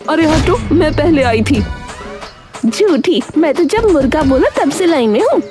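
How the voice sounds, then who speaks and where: Woman acting as squabbling villager, cartoon comedy, high-pitched, insistent, pushy, music behind. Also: expressive, unhurried. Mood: quarrelsome. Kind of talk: Hindi animated comedy story voice acting.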